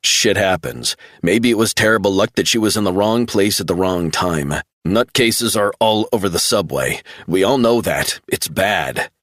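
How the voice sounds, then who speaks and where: A man voicing a steady, matter-of-fact man who talks a grieving friend through a crisis. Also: low-pitched, normal speed, few pauses, no echo, studio quality. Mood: calm concerned. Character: steady, blunt adult man, concerned friend.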